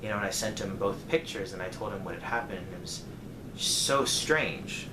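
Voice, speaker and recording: A man with a lowish voice tells a casual story to his viewers, animated when he retells conversations. The recording is roomy and echoey, with clear background noise behind him. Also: moderate pace. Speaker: storyteller vlogger.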